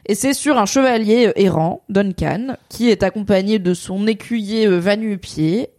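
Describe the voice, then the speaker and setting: A woman's voice: mid-range voice, a little slow, mellow, dry recording, studio quality. A woman hosting a casual pop-culture chat, talking at length and explaining eagerly with lots of fillers. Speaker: podcast host.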